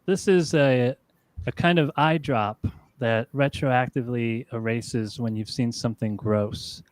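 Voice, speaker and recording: Man, comedy game show contestant, casual delivery, medium pitch. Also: measured pace, slight background noise.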